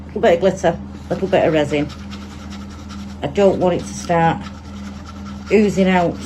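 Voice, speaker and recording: A woman's voice: on the low side, noisy background. A woman with a Manchester accent, chatting and thinking aloud as she demonstrates a resin craft project at her table. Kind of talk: casual hands-on tutorial.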